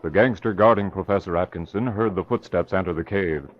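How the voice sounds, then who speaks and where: A low American male announcer narrates in the dramatic, scripted manner of classic radio. The sound is dull and aged. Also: brisk, faint music behind. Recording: dull old radio recording.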